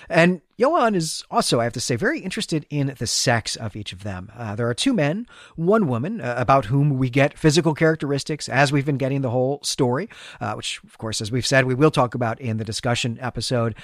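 A male podcast host with a medium-pitched voice talks through a story in a conversational recap. He explains things with enthusiasm. The sound is dry, with hardly any room to it. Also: no echo. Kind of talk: conversational literary recap with read-aloud passages.

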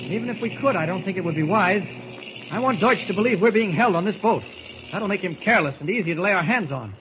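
An actor playing a calm, shrewd reporter hero in 1940s radio serial style, confident and mentor-like as he reasons. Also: high-pitched, fast, phone-quality sound, a little hiss or hum. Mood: urgent. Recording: thin, band-limited old radio recording.

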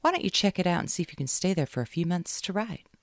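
A reader voicing a brash, cheering southern woman friend with a drawl and loud, emphatic delivery in an audiobook. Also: mid-range voice, lively intonation, fast talker, no echo, clean studio sound, voice pushed up, lightly acted.

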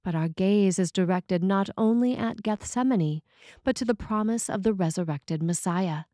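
A woman priest reading a scripted sermon in a measured, formal way. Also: mid-range voice, mellow, no echo, clean studio sound.

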